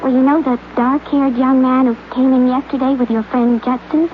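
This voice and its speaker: A young actress plays a gentle, trusting young woman who speaks softly and stumbles over her words in a 1950s radio drama. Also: sits high, level tone, moderate pace, natural voice. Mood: tender. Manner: hesitant, soft-spoken, stumbles over words. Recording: tinny, hissy old radio broadcast.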